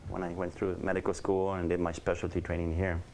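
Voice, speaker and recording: A man who works as a surgeon talks casually to an interviewer. His voice is low and deep. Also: monotone, fairly quick, a little mellow, low-quality recording.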